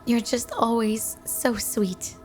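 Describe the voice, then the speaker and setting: High-voiced doting yandere snow woman, played by an actress. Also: steady tone, slow, soft background music, poor audio. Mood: playful, tender. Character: flirty, devoted, possessive yandere snow woman wife. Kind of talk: intimate ASMR roleplay.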